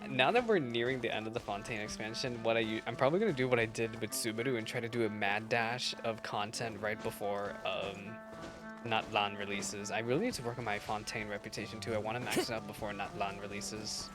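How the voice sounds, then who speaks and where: Casting: casual playthrough commentator, middling pitch, slangy and exclamatory, faint game music underneath. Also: fairly even intonation, fairly quick. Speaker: game streamer.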